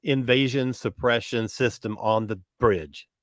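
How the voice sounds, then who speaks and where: A man's voice: mid-range voice, measured pace, no echo, clean studio sound. A man on a fan discussion panel, talking casually and informally. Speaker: podcast panelist.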